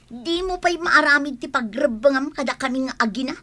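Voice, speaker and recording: A woman's voice: sits high, brisk, pushed and bright. An actress playing a scornful, hot-tempered mother in a radio drama. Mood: angry.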